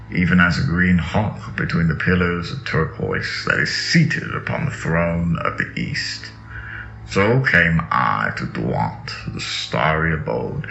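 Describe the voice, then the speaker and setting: A man with a gravelly voice gives a slow, dramatic, incantatory reading of mystical scripture. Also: low voice, bright, forceful tone. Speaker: reader of sacred texts. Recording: echoey, dull audio under music. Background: music.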